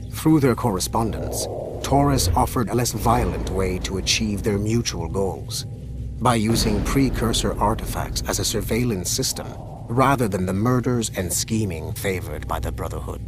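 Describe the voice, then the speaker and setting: Game villain commander, played by a man: low-pitched, zealous, proud, deliberate phrasing, loud score underneath. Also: lightly acted. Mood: solemn admiration. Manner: measured, deliberate, formal delivery. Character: stern, zealous male Templar field commander, calculating and proud. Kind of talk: scripted video game monologue and dialogue. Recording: loud music behind. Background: loud music.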